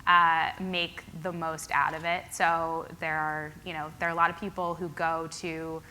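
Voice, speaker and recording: Low-pitched woman answering hesitantly on a panel. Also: flat delivery, a little slow, bright, forceful tone, slight background noise. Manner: hesitant, many fillers. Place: conference hall.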